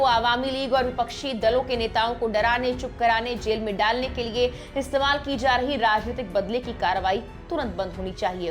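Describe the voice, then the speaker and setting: Higher-pitched woman reading scripted news over music. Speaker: news presenter. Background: background music.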